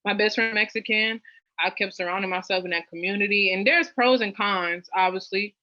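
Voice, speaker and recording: A young woman from New Orleans chatting as an interview guest, with lots of fillers and lively jumps in pitch. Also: brisk, dry recording. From New Orleans, US South. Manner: chatty, many fillers, animated rises in pitch. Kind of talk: casual interview chat. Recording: dull lo-fi dry sound.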